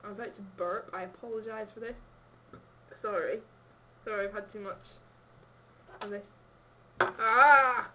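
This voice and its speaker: A woman's voice: mid-range voice, a little slow, pauses often, muffled recording, heavy background noise. Giggly woman with a slight Scottish accent. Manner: chatty, giggly, rambling. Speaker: vlogger. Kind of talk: casual chat answering a questionnaire.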